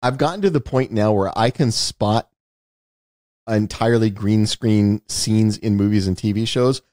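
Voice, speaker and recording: Low-voiced man explaining in a dry room. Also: moderate pace, darkish tone, dry recording. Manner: explanatory, knowledgeable, repeats words when starting. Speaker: podcast co-host. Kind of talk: casual movie discussion.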